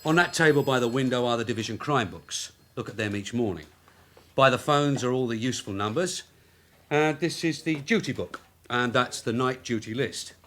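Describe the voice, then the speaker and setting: A man's voice: medium pitch, moderate pace, fairly bright tone, close to an everyday voice. A man playing a streetwise, long-serving detective sergeant in a radio drama, offhand and wry. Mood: wry, matter-of-fact. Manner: offhand, wry, matter-of-fact. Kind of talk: British radio drama acting.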